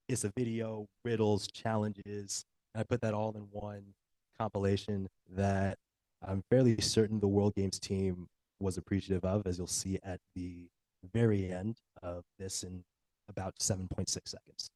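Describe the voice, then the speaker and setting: A man's voice: lowish voice, warm tone, no room sound, rough recording. An American man giving a presentation as an athlete at a workshop, speaking to an audience. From United States. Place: live talk at a workshop.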